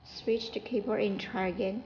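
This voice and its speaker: A woman giving an informal step-by-step product tutorial in halting, non-native English, repeating her instructions. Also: mid-range voice, fairly even intonation, a little mellow, dull audio, slight background noise. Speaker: product support demonstrator.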